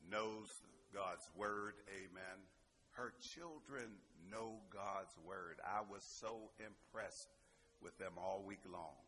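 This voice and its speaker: A man's voice: deep voice, a bit bright, slight background noise. A man speaking as a pastor to his congregation, emphatic and punctuating his remarks with amens. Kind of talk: church address to congregation. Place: church service.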